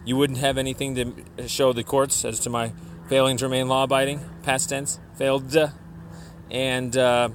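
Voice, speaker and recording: A man leaving a complaint voicemail, explaining his argument insistently and haltingly, often repeating himself. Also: medium pitch, a little hiss or hum.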